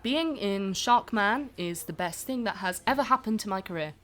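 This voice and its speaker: A woman's voice: mid-range voice, lively intonation, moderate pace, a bit bright, natural voice. A woman playing a pampered, overworked film star being interviewed in a comedy podcast. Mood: polite, promotional. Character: pampered, overworked film star who cracks under press tour. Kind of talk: improvised-sounding comedy podcast interview acting.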